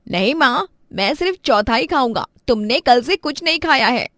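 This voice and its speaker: A woman's voice: high voice, expressive, moderate pace, a bit bright, dry recording, clean studio sound, pitched above the performer's own voice. Woman voicing an earnest, humble young schoolboy. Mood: insistent, caring. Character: earnest, selfless poor schoolboy, humble and polite. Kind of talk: storybook character acting.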